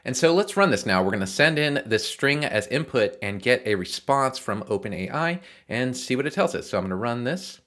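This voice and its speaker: A man's voice: mid-range voice, animated, a little fast, few pauses, a bit bright. A man giving an informal, explanatory screencast tutorial on coding, often checking in with the listener. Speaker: programming tutorial host. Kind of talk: casual walkthrough of code in a screencast tutorial.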